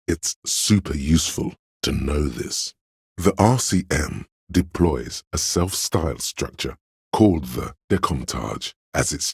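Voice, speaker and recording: Game inner-voice role: encyclopedic know-it-all man, extremely low and very smooth, resonant, measured, faintly condescending. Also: no echo, studio quality, heavily acted. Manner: measured, scholarly, dryly condescending. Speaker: game narrator. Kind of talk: scripted game narration.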